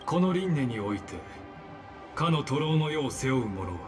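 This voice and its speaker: An actor playing a cryptic, composed scholar antagonist in a video game, speaking with formal, measured politeness. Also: male voice, mid-range voice, very animated, lightly acted. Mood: composed, ominous. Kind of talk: Japanese video game dub acting. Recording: game music behind the voice.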